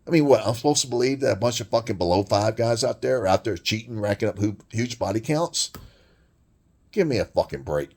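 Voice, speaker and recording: A man with a deep voice delivering a blunt, crude, rambling monologue rant full of swearing. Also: expressive, brisk, darkish tone. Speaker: vlogger.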